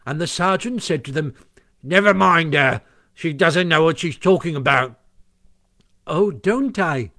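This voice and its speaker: A man voicing an excitable, boastful little mole in a storybook, telling his tale with eager glee. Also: fairly high pitch, measured pace, voice pushed up, exaggerated voice. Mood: boastful, excited. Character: chirpy, boastful, excitable small male mole.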